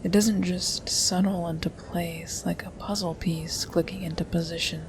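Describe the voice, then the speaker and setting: A woman playing a sly, mesmerizing hypnotist in an ASMR roleplay, with a hushed, deliberate, teasing delivery. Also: on the low side, fairly even intonation, lightly acted. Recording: noisy recording with ambient hiss.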